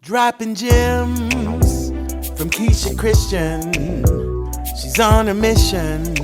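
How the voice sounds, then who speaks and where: A woman's voice: on the high side, extremely slow. A woman delivering a rhythmic, half-sung promo jingle. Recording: loud music under the voice. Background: hip hop and R&B music.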